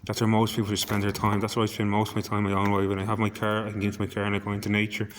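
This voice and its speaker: A hoarse, husky Irish man rambles to camera at a quick clip. His low voice stays flat and even, and he stumbles over repeated words. A faint hiss runs behind him. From Ireland. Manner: stumbling, repeats words while searching for them. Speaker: vlogger.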